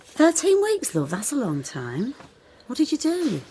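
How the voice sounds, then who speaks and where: An actress playing a kindly food bank volunteer in a naturalistic radio drama, gentle, motherly and sympathetic. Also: high-pitched, wildly swooping pitch, measured pace, slight background noise, full character voice. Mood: kindly, concerned.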